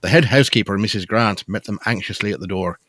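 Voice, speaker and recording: Low male narrator for a gothic audio drama, close dry sound. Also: fast talker, a bit bright, dry recording.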